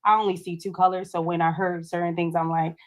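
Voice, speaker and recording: A woman from Guyana speaks earnestly on a discussion panel in a somewhat low voice. She explains her view in a steady, careful way. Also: fast talker. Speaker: panel guest.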